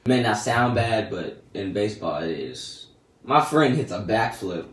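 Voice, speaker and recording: A teenage boy casually telling a story about his day in slangy, laid-back talk that often trails off soft and quiet. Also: lowish voice, animated, measured pace, recorded from a distance. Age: teens. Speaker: vlogger. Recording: echoey room, a bit off-mic, faint noise.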